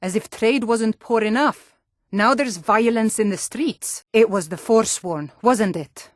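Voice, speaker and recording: An actress voicing plain-spoken Nord townswomen, guards and traders in scripted fantasy video game dialogue. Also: female voice, on the high side, measured pace, no room sound, clean studio sound, natural voice. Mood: irritated.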